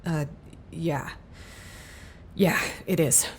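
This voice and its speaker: A woman playing a weary, foul-mouthed research scientist in an audio drama, halting and dryly clinical. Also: low voice, steady tone, husky, heavily acted. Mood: awkward, subdued. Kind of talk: audio drama acting, naturalistic.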